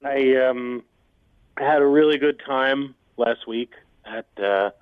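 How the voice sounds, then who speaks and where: A man calling in to a radio show, speaking in an awkward, halting, earnest way over a dull phone line. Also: medium pitch. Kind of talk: awkward casual chat. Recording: dull band-limited radio phone call.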